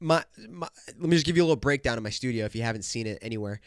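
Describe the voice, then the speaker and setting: A man's voice: medium pitch, expressive, racing, clear, resonant tone, no room sound, studio quality. A young man hosting a solo podcast who talks in a hyped, joking way, calling his listeners "bro" and "dude", and sounds nasal and congested from a cold. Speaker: podcast host. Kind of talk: casual solo podcast rant.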